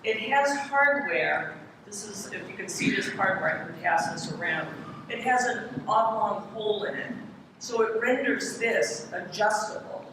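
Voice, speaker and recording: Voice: medium pitch, expressive, unhurried. A presenter giving a hands-on demonstration to an audience, explaining and naming each part step by step. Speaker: presenter, window restorer. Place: large echoey room in a city hall. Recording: echoey hall, distant, noisy live event recording.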